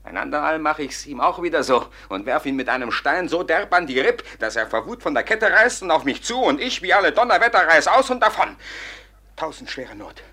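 An actor playing a boastful young schemer who dreams feverishly of grand plans, declaiming excitably in a classical radio play. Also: male voice, on the high side, brisk, heavily acted. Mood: gleeful, excited.

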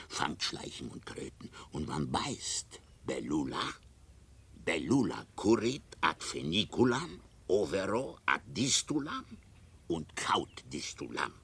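A man's voice: low-pitched, gravelly, a bit bright, breathy edge, full character voice. A man playing an ugly, superstitious, lecherous monk in a radio drama, babbling conspiratorially in broken mixed Latin, Romance and German. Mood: sly, eager.

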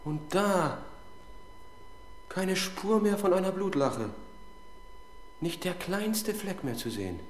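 An actor playing a cautious, matter-of-fact police sergeant, a loyal subordinate, in a 1970s German radio crime play. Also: male voice, sits high, expressive, slow, pauses often. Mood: puzzled. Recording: faintly noisy 1970s radio recording.

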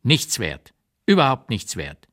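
A man's voice: medium pitch, huge pitch swings, unhurried, pitched above the performer's own voice, heavily acted. A man voicing a curt, scornful English critic. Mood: cutting scorn. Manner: sharp, dismissive, clipped.

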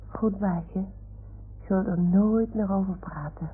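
A woman playing a small woolly toy dog in an old radio play, excitable and confiding, with childlike, theatrical earnestness. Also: mid-range voice, takes it slowly, husky. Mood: earnest. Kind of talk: 1960s-70s radio play acting. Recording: tinny, noisy old radio recording.

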